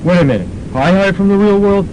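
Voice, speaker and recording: Casting: man as self-righteous young revolutionary, fast and scornful, student radio drama on a noisy recording. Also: sits high, close to an everyday voice. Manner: preachy, disgusted.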